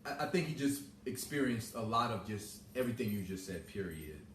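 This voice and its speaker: A man's voice: fairly low pitch, expressive, brisk, a bit bright, reverberant, slight background noise. A man in his thirties co-hosting a talk show, earnest and faith-minded. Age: 30s. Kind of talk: casual talk show chat.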